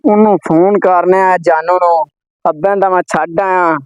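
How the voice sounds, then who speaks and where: A man's voice: high voice, steady tone, moderate pace, a little mellow, clear, resonant tone, dry recording, highs cut off, lightly acted. A man playing a wayward, easily led son in an amateur moral skit, with a Pakistani Punjabi accent and emphatic, repetitive speech. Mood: eager to please. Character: wayward, wife-pleasing son who abandoned his father.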